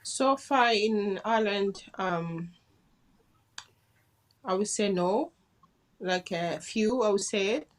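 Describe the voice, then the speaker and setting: A woman's voice: mid-range voice, slow, long pauses. Woman from congo, guest on a talk show, earnest, telling her own story. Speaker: guest, care assistant. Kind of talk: interview chat.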